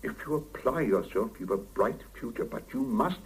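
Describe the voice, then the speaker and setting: An actor playing a stern middle-aged boss in a 1970s radio drama, clipped and authoritative. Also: male voice, medium pitch, animated, normal speed, a little hiss or hum, lightly acted. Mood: measured, formal. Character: stern middle-aged male laboratory boss, brisk and exacting. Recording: muffled 1975 radio drama cassette recording.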